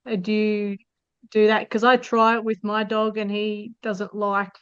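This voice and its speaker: A woman's voice: medium pitch, level tone, a little slow, fairly bright tone, clear, resonant tone, no echo. A woman participant on a video call asking a question, polite and a little hesitant. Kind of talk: casual question. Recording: dry dull lo-fi call audio.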